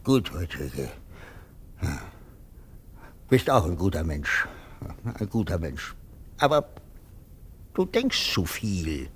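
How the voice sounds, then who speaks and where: An actor playing a pompous, patronising army captain in a theatrical radio drama, moralising and repeating himself. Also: male voice, low voice, big pitch swings, slow pace, long pauses, breathy edge, full character voice. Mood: condescending, fussy. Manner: moralising, self-satisfied, repeats himself in sudden swoops.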